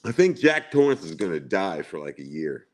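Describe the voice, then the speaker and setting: A man's voice: low voice, very animated, moderate pace, a little mellow. A man in his forties, chatting casually on a livestream and rambling and repeating himself. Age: 40s. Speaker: livestream co-host.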